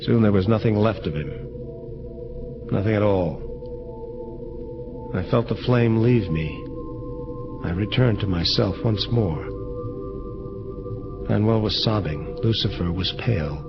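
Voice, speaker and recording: Low-voiced grim avenging angel narrator , played by a man. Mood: subdued. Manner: grave, measured, deliberate. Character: world-weary angel of vengeance telling his tale, hardboiled. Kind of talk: first-person hardboiled detective narration, audio drama. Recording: dull lo-fi audio under loud music.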